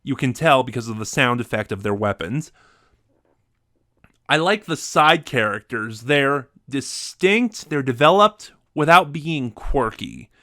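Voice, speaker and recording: A man's voice: medium pitch, animated, unhurried, sharp tone, no room sound. Man giving a casual review, dry close sound. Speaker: reviewer ranking favorite audio dramas.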